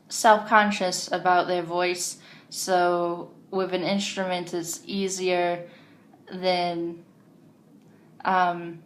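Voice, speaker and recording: A woman with a deep voice vlogging to camera for the first time, hesitant and full of ums, drifting onto tangents. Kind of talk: casual unscripted monologue to camera. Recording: faint background noise.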